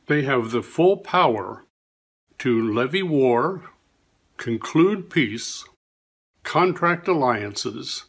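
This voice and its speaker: A man's voice: medium pitch, lively intonation, very slow, pauses often, breathy edge. A man reading a historical document aloud in a formal style. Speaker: volunteer reader.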